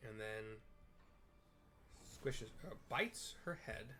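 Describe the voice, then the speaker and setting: A man's voice: on the low side, expressive, very slow, pushed and bright, slight background noise. Casual man describing game scenes. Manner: informal, improvised, colloquial. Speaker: gaming video narrator.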